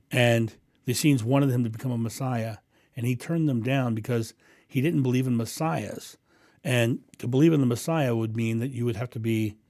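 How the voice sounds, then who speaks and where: A man with a booming voice hosting a live stream, answering viewers' questions in a rambling, animated way and acting out thoughts. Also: dry recording, clean studio sound. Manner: animated, acts out inner thoughts with raised voice; rambling with frequent ums and restarts. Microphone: condenser mic through a mixing board.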